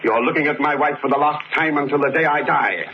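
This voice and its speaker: An actor playing a jealous, haunted husband in an overwrought old radio melodrama. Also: male voice, fairly high pitch, brisk, natural voice. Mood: menacing, angry. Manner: overwrought, theatrical. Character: jealous, haunted husband tormented by a cursed mask. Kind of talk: 1930s radio melodrama acting. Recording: muffled, noisy old radio recording.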